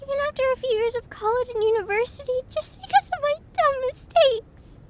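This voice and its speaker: Very high-pitched, fragile young woman, acted out. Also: lively intonation, a little hiss or hum. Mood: distraught, bitter. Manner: tearful, halting, stammering. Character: distraught young woman confiding in her best friend, self-deprecating, fragile. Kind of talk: intimate audio roleplay acting.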